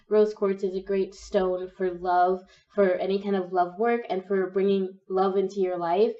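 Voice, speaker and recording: A young woman with a cold and a slightly croaky voice, gently talking through a pick-a-card tarot reading. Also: medium pitch, recorded from a distance, muffled recording. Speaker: tarot reader.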